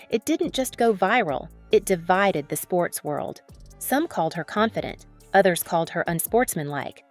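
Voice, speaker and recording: Female narrator, mid-range voice, scripted dramatic sports news read, faint music bed. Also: mid-range voice.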